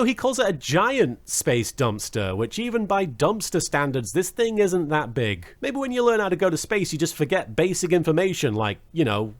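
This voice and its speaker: Animated, higher-pitched man reviewing a show. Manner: wry, joking asides with casual swearing. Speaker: host of a watch-along review. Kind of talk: casual humorous review commentary.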